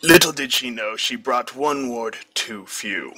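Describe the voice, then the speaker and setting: A man's voice: mid-range voice, unhurried, sharp tone, breathy edge. A man reading a comic fan fiction story aloud as scripted narration.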